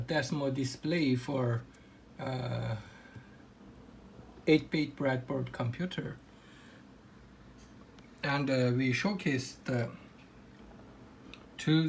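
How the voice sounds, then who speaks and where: A man's voice: mid-range voice, gravelly, a little hiss or hum. A young man whose first language is Kazakh, speaking plain non-native English with frequent hesitations while demonstrating electronics. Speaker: hobbyist electronics presenter. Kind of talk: hands-on electronics demo.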